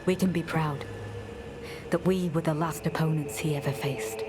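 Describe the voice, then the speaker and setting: A woman playing a courteous but coldly zealous knight in a fantasy game, speaking in formal, measured, archaic lines. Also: sits low, fairly even intonation, lightly acted. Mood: grateful, solemn. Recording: echoey game audio under music.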